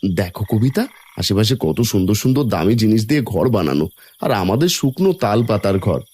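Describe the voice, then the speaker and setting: Man playing a humble, expressive village craftsman. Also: fairly low pitch, a little fast, slight background noise. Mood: wistful. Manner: modest, deferential. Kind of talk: Bengali cartoon voice acting.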